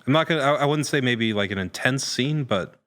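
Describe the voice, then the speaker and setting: A man speaking casually as an actor guest, pausing often as he searches for words. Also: mid-range voice, animated, a bit bright. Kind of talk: casual interview chat.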